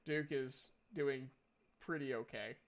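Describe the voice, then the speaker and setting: A man acting as game master in a casual tabletop roleplaying session, thinking aloud in a halting way as he works out dice and rules. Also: mid-range voice, gravelly.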